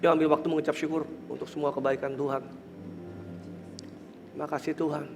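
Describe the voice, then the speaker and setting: Nasal-voiced Indonesian pastor in a reverberant church. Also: male voice, fairly high pitch, little pitch movement, a little fast, noisy background. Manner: mixes English phrases into speech, rises to emphatic preaching; soft murmured prayer. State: congested, nasal voice. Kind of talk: church sermon with communion and prayer. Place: large church hall.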